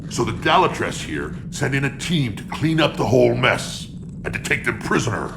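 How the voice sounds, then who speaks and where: A battle-hardened alien clan chief for a cinematic game. The voice is deep and full of gravel, swinging widely as he pushes his point. He is proud, blunt and combative, over a slightly noisy ambience. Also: big pitch swings, normal speed. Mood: accusing. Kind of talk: cinematic video game acting.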